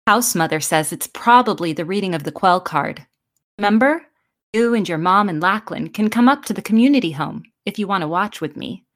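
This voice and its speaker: A woman's voice: mid-range voice, lively intonation, fairly quick, fairly bright tone, close to an everyday voice. A woman playing a plucky, teasing teenage girl, a young sweetheart in a fan audio drama. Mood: casual, playful.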